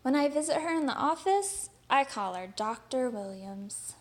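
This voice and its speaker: A woman reading a children's picture book aloud in an expressive storytelling manner. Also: sits high, moderate pace.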